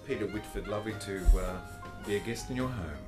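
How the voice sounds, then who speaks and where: Brief: male host, lower voice, lively pitch, admiring interviewer, faint guitar under. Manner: warm, admiring, halting. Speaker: podcast host.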